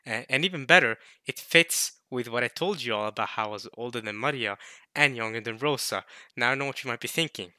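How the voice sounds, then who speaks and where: A man in his late teens chatting casually to viewers as a video host, jokey and animated, repeating words in bursts as he rambles. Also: mid-range voice, a little fast, no room sound, studio quality. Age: late teens, turning twenty. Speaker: host of a video series reading a visual novel.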